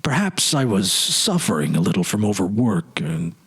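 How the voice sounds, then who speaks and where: A man playing a weary, haunted politician of forty in a storybook reading, confessing his regrets in a halting, sorrowful, dramatic way. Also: fairly low pitch, sing-song, slightly rough, full character voice. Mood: weary, wistful. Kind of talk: dramatic literary audiobook reading.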